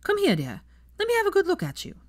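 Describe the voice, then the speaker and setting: A woman's voice: very high voice, wildly swooping pitch, fast, pitched above the performer's own voice, heavily acted. A woman voicing a stern, bossy but doting elderly woman in a story reading. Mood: fussing, welcoming. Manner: stern, snappy.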